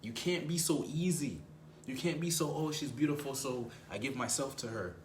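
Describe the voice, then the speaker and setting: A young man with a low voice, giving dating advice in a casual, slangy way and often repeating himself for emphasis. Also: moderate pace, roomy sound, slight background noise. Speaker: dating coach.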